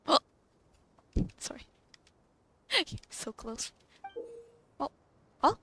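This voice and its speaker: A woman's voice: high voice, sing-song, drawn-out words, pushed and bright. A woman gamer giving casual gameplay commentary, muttering to herself in fragments that trail off. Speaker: gamer playing and reacting. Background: video game music.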